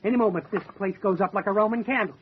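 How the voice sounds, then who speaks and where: A man's voice: high voice, very fast, lightly acted. An actor playing a bold, curious male adventurer with theatrical, scheming banter in old-time radio style. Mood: wry, scheming. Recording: dull radio sound with background noise.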